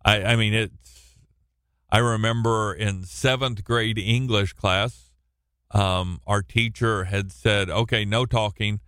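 A man teaching through a Bible passage on the radio, with a loud, projecting voice and a halting delivery full of restarts and repeated words. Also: fairly low pitch, clean tone, no room sound, studio quality. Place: radio studio, alone.

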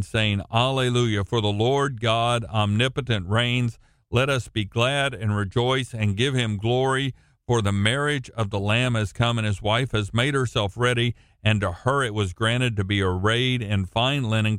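A middle-aged American man with a booming voice, teaching from the Bible as a preacher in a halting, deliberate way with long pauses. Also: a bit bright, dry recording, studio quality. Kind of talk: Bible reading and informal teaching with personal anecdotes. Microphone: close studio-style microphone at a computer.

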